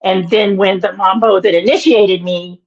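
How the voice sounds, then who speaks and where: Let a raspy older woman ramble, throat catching. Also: medium pitch, steady tone, highs cut off. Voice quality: naturally raspy voice. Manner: meandering, halting, with many fillers and restarts. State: throat irritated by allergies, frequent throat-clearing. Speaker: guest, minister and author. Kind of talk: reflective interview storytelling.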